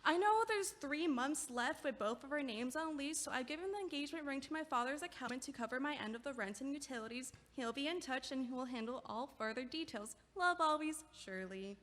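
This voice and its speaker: A calm young woman reading her farewell letter. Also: high-pitched, a little fast, few pauses. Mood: measured. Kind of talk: audio drama acting.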